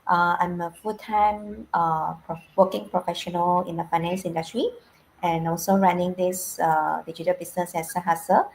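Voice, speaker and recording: Low-voiced Malaysian woman, hesitant. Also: fairly even intonation, normal speed, creaky, a little hiss or hum, low-quality recording. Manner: hesitant, with many uhs. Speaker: guest on a group live stream. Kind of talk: casual self-introduction.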